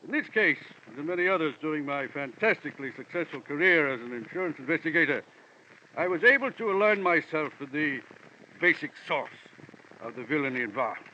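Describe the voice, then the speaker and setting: An actor playing a florid, jovial and pompous gentleman investigator from London with a villain's air, in 1940s radio style. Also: on the high side, lively intonation, brisk. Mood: amused, self-satisfied. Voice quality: voice like a portly classic-film villain. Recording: muffled, hissy old radio recording.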